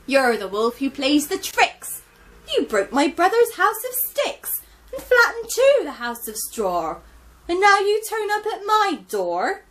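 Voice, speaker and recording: A woman voicing a group of bold, cheeky young piglets who talk loudly and bossily. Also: sits high, wildly swooping pitch, takes it slowly, voice pushed up, heavily acted. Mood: scolding. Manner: loud, bossy and mocking.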